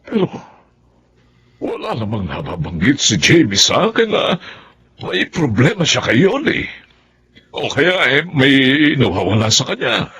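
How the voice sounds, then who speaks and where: A man's voice: medium pitch, wildly swooping pitch, measured pace, long pauses, a bit bright, husky, full character voice. A man playing a protective, indignant father in a Filipino radio drama, with a Filipino accent. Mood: troubled.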